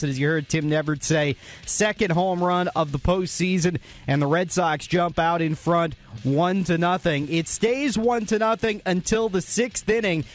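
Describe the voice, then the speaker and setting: A man hosting a radio postgame show, recapping the highlights in a brisk, informative, scripted way.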